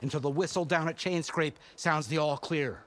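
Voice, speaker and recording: An actor playing a nervous, hesitant cable car operator in a video game, stammering and apologetic. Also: male voice, a little high, normal speed, fairly bright tone, slight background noise, lightly acted. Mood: flustered, reluctant. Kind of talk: video game cutscene acting.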